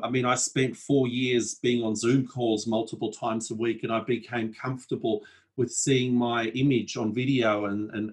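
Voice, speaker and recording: A man hosting a casual podcast conversation from his desk at home, talking in a reflective, measured way with frequent ums. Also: medium pitch. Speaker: podcast host. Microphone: desk setup at home.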